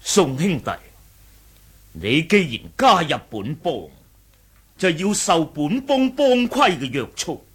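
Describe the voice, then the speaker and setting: Stern wuxia elder, male actor, declamatory and zealous, deliberate pace, slightly high husky voice, radio drama. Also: exaggerated voice. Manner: declamatory, formal, interrogating. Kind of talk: Cantonese martial-arts radio drama acting.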